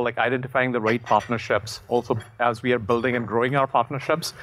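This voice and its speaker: A man in his fifties speaks on a conference panel at a medium pitch. He is halting and rephrases as he goes, with faint noise in the live recording. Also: fairly bright tone. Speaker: panelist, technology partnerships manager. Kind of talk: unscripted panel talk. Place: on stage at a conference panel in a curtained room. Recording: clearly noisy live event audio.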